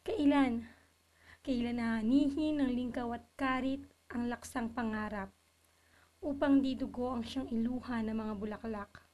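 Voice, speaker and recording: Mellow, higher-voiced woman reciting poetry. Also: fairly even intonation, unhurried. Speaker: poetry reader.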